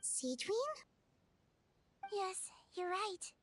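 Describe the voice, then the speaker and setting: Tiny, very high-pitched gentle nurse creature. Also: female voice, a little hiss or hum, exaggerated voice. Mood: calm, assured. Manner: calm, measured, reassuring. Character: small gentle non-human girl nurse, calm, kindly. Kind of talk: video game voice acting.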